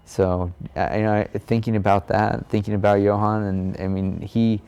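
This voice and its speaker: A man speaking informally and reflectively, earnest and halting as he goes. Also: on the low side, flat delivery, darkish tone, clean tone. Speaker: amateur cyclist and blogger.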